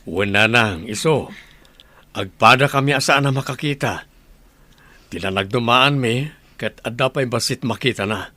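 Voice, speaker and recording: A man playing an earnest, gentle father in an Ilocano audio drama, speaking with an Ilocano accent. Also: medium pitch, moderate pace, no room sound. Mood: warm, reassuring. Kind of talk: radio drama acting.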